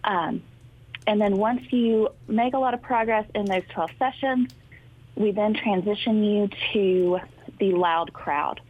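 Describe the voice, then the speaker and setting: A woman speech therapist explaining clearly and patiently to interviewers in a friendly, informative way. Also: mid-range voice, unhurried. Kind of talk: explaining in a talk show interview. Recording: thin phone-line sound, dry.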